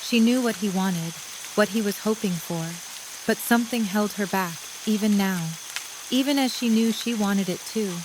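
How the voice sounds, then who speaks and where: A woman's voice: mid-range voice, moderate pace. A woman narrator reading scripted story prose for an audio drama. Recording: clear rain noise behind. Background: rain ambience.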